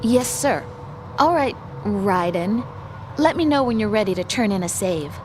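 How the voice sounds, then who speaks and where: An actress playing a young intelligence analyst in a video game, protective and headstrong, speaking in an earnest, assertive but tender way. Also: female voice, medium pitch, animated, a little slow, background noise, natural voice. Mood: obliging.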